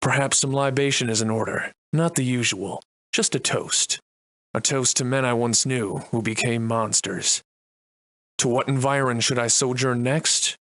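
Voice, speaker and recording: An actor playing a wry, defiant Victorian-era adventurer and monster slayer in a video game, soliloquizing in florid, old-fashioned diction. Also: male voice, medium pitch, a little slow, fairly bright tone, no echo, lightly acted. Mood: grave, reflective. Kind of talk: theatrical video game voice lines in archaic English.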